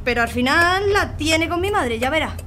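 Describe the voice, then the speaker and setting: An actress playing a chatty, nervy young student learner driver with slangy speech, in a naturalistic audio drama. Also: female voice, high-pitched, animated, moderate pace, sharp tone, slight background noise. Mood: chatty, flustered. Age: young adult.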